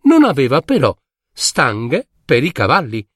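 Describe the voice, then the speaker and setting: Slow, animated male fairy-tale narrator. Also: mid-range voice, husky, no echo, clean studio sound. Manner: theatrical, lingering on repeated words. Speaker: storyteller.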